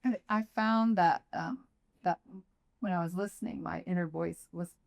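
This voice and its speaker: A woman's voice: on the low side, normal speed, vocal fry, a little mellow, lo-fi sound. A woman in a workshop audience sharing her experience of an exercise in a hesitant, reflective way. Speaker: workshop participant. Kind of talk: sharing in a group discussion.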